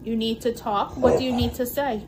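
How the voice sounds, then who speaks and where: A woman's voice: fairly high pitch, steady tone, moderate pace, noisy background. A woman addressing spirits in a summoning, recorded from a distance.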